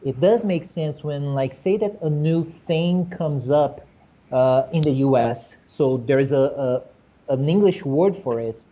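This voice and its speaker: A man from Brazil with a Brazilian Portuguese accent, whose first language is Portuguese, explaining points animatedly in a casual discussion and often asking rhetorical questions. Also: dark tone. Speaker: host. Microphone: video call. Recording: muffled low-fidelity video call audio.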